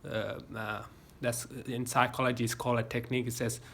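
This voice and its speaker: A man's voice: mid-range voice, little pitch movement, fairly quick, gravelly, a bit bright, a little hiss or hum. An Australian man talking casually into his phone camera, giving rambling folksy life advice with lots of fillers and restarts. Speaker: vlogger. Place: home gym.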